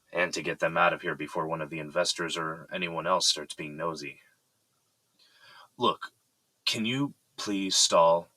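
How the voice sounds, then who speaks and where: A man's voice: low voice, bright, forceful tone, no room sound. An actor playing a composed, evasive but polite businessman in an audio drama.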